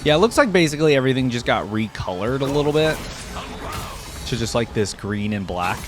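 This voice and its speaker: A man's voice: mid-range voice, sing-song, fairly quick. Man, mid-range voice, casual reaction commentary, conversational and rambling, faint music underneath. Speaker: reaction video host. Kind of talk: casual, unscripted reaction commentary to camera or screen.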